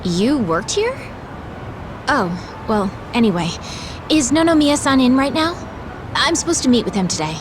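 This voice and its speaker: A woman's voice: high-pitched, sing-song, normal speed, noisy background. An actress playing a polite, earnest young woman with a hesitant manner, in an English game dub. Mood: inquiring, surprised.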